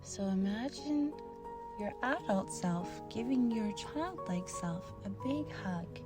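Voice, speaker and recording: A woman narrating a guided meditation in a soft, soothing manner, with long pauses between short phrases. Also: medium pitch, lively intonation. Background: meditation music.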